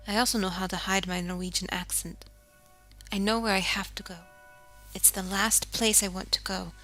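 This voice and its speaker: An actress voicing a grieving jazz singer who narrates her own story in a quiet, bitter, world-weary way, often sinking to a whisper. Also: mid-range voice, natural voice. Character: grieving, haunted woman jazz singer seeking revenge. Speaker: game character. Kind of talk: scripted game voice acting, confessional narration. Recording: faint music underneath.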